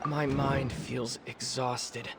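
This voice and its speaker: An actor voicing a weary, brooding man in a slow, reflective inner monologue for a video game. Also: mid-range voice, little pitch movement, background noise. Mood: weary, pondering.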